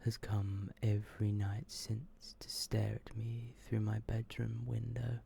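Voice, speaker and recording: Hushed, gravelly man reading ASMR horror. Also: on the low side, monotone, slight background noise. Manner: hushed, slow and deliberate, often dropping into whisper. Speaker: ASMR video creator and host. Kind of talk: ASMR, soft-spoken reading of short horror stories.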